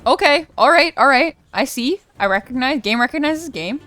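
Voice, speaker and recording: A woman's voice: high-pitched, animated, moderate pace, sharp tone. A woman giving casual, reactive gaming commentary, repeating herself and swearing now and then. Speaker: let's player. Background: game music.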